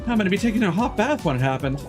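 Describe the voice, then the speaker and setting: A man voicing a sassy, dryly animated video game character. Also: high voice, rapid-fire, music in the background, voice pushed up.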